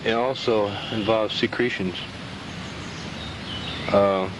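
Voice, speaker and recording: A man explaining a subject informally and haltingly, recorded outdoors on 1970s reel-to-reel video. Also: fairly low pitch, steady tone, noisy background. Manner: halting, with frequent throat clearing. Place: outdoors beside a pond.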